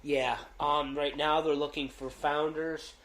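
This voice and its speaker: A man co-hosting a casual podcast, loud-voiced and rambling, with lots of fillers and restarts. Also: on the high side, little pitch movement, measured pace, roomy sound. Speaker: podcast co-host.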